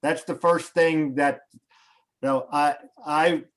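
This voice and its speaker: A man hosting, talking casually and haltingly over a video call, coaching a guest before a show. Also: a little high, fairly even intonation. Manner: hesitant, restarts, coaching tone. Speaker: host.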